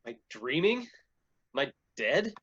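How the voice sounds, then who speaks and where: A groggy action hero played by a man answers in short deadpan lines, his middling pitch swinging now and then. It is a stage reading with faint noise behind it. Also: moderate pace, muffled recording. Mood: dazed. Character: groggy, deadpan male chief, weary gun-toting action hero.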